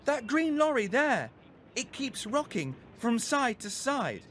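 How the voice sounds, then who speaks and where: A man voicing an excitable, anxious and insistent young schoolboy in a children's story. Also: very high-pitched, takes it slowly, slight background noise, pitched above the performer's own voice, exaggerated voice. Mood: agitated, puzzled.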